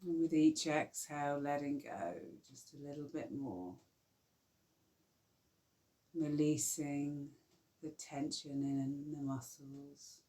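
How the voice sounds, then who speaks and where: A woman guiding a yoga nidra relaxation, speaking softly and soothingly with long pauses. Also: low voice. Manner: slow, soft, soothing guidance with long pauses. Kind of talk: guided relaxation meditation.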